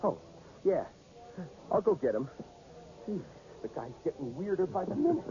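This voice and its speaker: An actor playing a gruff, blunt carnival boss in a radio drama, impatient and irritably bullying his workers. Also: sits high, wildly swooping pitch, normal speed. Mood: angry, impatient. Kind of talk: 1980s radio drama acting. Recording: dull old radio recording, slight noise.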